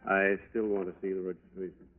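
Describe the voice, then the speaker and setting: Man playing a curt american cop, lowish voice, businesslike, tinny 40s radio drama. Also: level tone, fast talker, natural voice. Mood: suspicious, firm. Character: terse, suspicious male police officer. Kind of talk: 1940s radio acting. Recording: thin phone-like 1940s radio recording.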